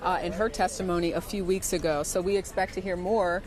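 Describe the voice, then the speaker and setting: A woman's voice: lowish voice, fairly even intonation, brisk, crowd noise. A woman giving a live news report as a correspondent.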